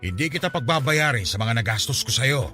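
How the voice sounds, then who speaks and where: A man with a Filipino accent acting in a radio drama as a gruff, troubled loner who is a reluctant foster father, halting and insistent. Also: mid-range voice, animated, pushed and bright, faint music behind. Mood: guilty, hesitant.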